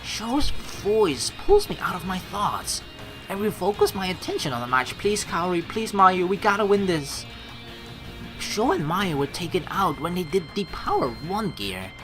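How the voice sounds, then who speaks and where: A woman playing through a game, reading the story aloud and reacting excitably with casual swearing. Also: on the low side, measured pace, music in the background. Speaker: let's play streamer reading a visual novel aloud.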